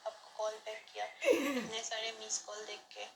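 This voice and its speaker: A woman's voice: mid-range voice, completely flat delivery, moderate pace, vocal fry, background noise. A woman speaking as a prank caller, delivering flirty, scripted lines in an insistent, questioning way.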